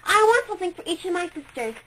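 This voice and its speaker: An eager, delighted star girl, breathless and bubbly, as a woman reading aloud puts her on. The voice sits high and lively, full of excitement about everything she sees. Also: fast talker, a little hiss or hum, heavily acted. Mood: excited.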